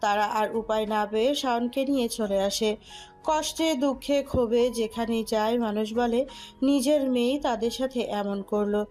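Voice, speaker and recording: Woman, high voice, dramatic scripted storytelling, music underneath. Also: a little mellow. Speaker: story narrator.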